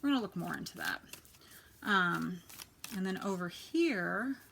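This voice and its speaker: A soft-spoken woman with a quiet voice, chatting casually as she gives a tarot reading, often dropping to a near whisper. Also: fairly low pitch, lively intonation, measured pace, gravelly, slight background noise. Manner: chatty, many fillers, drifts to near-whisper. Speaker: tarot reader. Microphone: quiet home recording.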